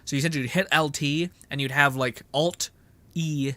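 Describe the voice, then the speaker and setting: A man's voice: fairly high pitch, steady tone, moderate pace, pushed and bright, dry recording. A man giving casual live game commentary as a streamer, rambling and slangy, with frequent swearing.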